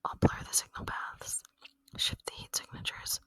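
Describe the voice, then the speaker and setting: A woman voicing a gentle, lonely female alien in an ASMR roleplay, whispering tenderly and intimately in a breathy voice, close to the microphone. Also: no echo, natural voice. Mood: soft, reassuring. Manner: slow, tender, intimate, earnest. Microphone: close microphone, dry.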